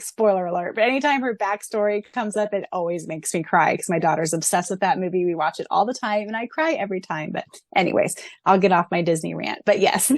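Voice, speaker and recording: A woman's voice: medium pitch, no room sound. Woman cohost on a fan podcast, laughs a lot, mid voice, dry close mic. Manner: giggly, laughs often between remarks. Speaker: podcast co-host. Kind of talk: casual fan podcast chat, reading questions aloud.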